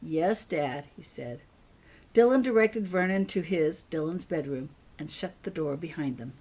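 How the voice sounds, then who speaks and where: Low-pitched woman reading a story. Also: expressive, moderate pace, a little mellow. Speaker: author reading own story. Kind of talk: scripted story narration.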